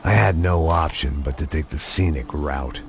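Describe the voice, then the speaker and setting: An actor with an American accent playing a weary, cynical middle-aged ex-cop in a game, narrating in a deadpan, sardonic noir voice-over. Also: male voice, a bass voice, normal speed, noisy background. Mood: grim, sardonic.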